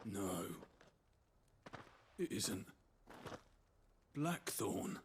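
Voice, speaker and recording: An actor playing a surly, officious village gate guard with a husky voice, curt and dismissive, in a video game. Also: male voice, fairly low pitch, very animated, drawn-out words, slight background noise, full character voice. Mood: startled, hostile.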